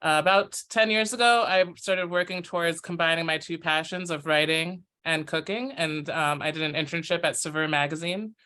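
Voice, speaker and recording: An American woman, a chef and cookbook author, answering interview questions in a thoughtful, measured way over a video call. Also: low voice, steady tone, sharp tone, clear, resonant tone. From United States.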